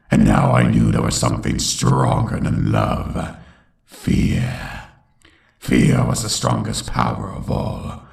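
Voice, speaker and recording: A man playing a bitter, menacing pony tyrant in a dramatic comic dub, with a gravelly growl and brooding, theatrical delivery. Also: a bass voice, a little mellow, reverberant, full character voice.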